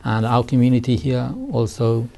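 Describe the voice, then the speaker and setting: A middle-aged man, answering interview questions in halting speech full of ums. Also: mid-range voice, level tone, creaky, dark tone. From East Timor. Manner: halting, many ums, non-native grammar. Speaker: community guest.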